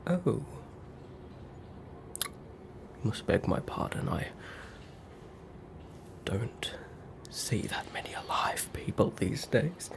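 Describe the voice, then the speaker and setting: Slow whispering woman as a fussy hospital ghost. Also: very deep voice, very animated, heavily acted. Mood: polite, flustered. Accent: Australian. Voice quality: breathy whisper. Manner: quaint old-fashioned wording, hesitant, apologetic. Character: fussy, polite female ghost haunting a hospital bed. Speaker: ASMR performer. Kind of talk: whispered ASMR roleplay.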